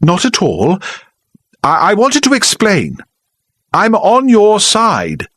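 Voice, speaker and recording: Male narrator voicing a flustered, pleading older southern English woman, high and lively, dry recording. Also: a little slow, dry recording, full character voice. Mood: earnest, pleading. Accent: southern English middle-class. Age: 60s. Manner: earnest, pleading.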